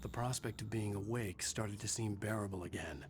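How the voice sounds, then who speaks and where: A man voicing a troubled, weary horror writer, narrating his own story in a brooding, measured way for a video game. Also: on the low side, noisy background, natural voice. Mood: weary, dry. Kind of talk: scripted first-person game narration.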